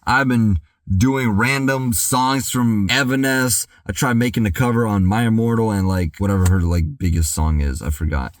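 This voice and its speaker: A young man talking through a casual music reaction, rambling and excitable, with lots of "dude" and "like", a slightly worn voice, and loud outbursts when he gets hyped. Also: lowish voice, normal speed, few pauses, no room sound. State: voice worn from singing practice.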